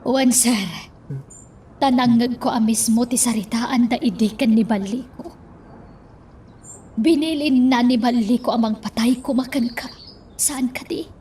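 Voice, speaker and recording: A woman's voice: fairly high pitch, a little slow, long pauses, mellow, slight background noise, close to an everyday voice. A woman playing a concerned, questioning subordinate in a radio drama. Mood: concerned, probing.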